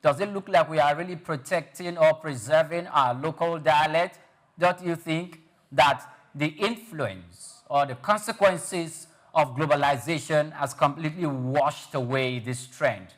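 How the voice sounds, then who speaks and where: A man interviewing a guest, asking long questions in a measured, reflective way. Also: a little high.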